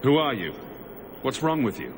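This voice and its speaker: Casting: male actor as sardonic mage hero for a fantasy game, mid-pitched, direct, noisy ambience. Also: very animated, moderate pace, close to an everyday voice. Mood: sardonic, wary. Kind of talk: video game voice acting.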